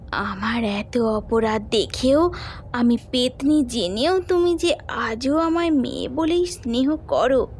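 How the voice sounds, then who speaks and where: A woman as slow, lovelorn young ghost woman. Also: a little high, darkish tone, slight background noise, heavily acted. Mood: tender. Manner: slow, plaintive, dramatic. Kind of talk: horror audio story acting.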